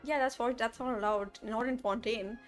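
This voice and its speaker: A woman's voice: a little high, normal speed, soft background music. A young woman streamer giving casual, gushing, slangy live reactions to a video game. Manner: excitable, gushing fan, slangy.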